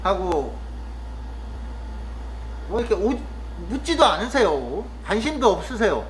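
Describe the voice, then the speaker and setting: High-voiced man musing thoughtfully. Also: sing-song, a little hiss or hum, poor audio. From Chungcheong, Korea. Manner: thoughtful, musing, with frequent pauses and fillers. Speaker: vlogger living in the countryside. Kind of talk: casual reflective monologue.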